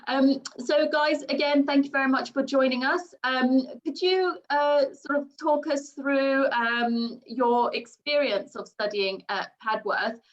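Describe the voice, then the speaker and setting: Woman host on a video call, kinda high voice, lots of ums and thank yous, dull muffled audio. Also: fairly even intonation, slow, hardly any pauses. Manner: upbeat, polite, with many ums and thank-yous. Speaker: host of school information session. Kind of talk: friendly moderating on a video call.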